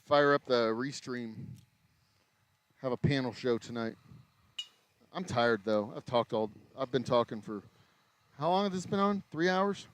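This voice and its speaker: A man in his forties with an American accent, hosting a casual livestream from his small distillery while he works, his voice a little raspy after hours of talking. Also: medium pitch, unhurried, long pauses. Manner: rambling, self-interrupting, mild swearing. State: voice getting raspy from long talking. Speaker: distillery owner and livestream host. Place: small craft distillery shop.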